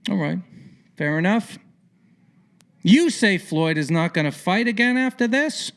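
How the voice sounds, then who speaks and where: A man's voice: high-pitched, expressive, unhurried, fairly bright tone. A man hosting a sports podcast, asking rambling, opinionated questions in an informal interview style. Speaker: podcast host interviewing a guest.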